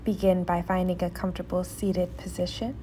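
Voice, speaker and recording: A woman leading a guided meditation in a soft, soothing, often near-whispered voice with pauses. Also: on the low side, level tone, slight background noise.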